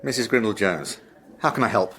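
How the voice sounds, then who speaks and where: An actor playing an earnest, polite, beleaguered headmaster in a radio drama, with a dry, formal, measured manner. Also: male voice, on the low side, expressive, very noisy. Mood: exasperated, wry. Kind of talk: radio drama narration and dialogue.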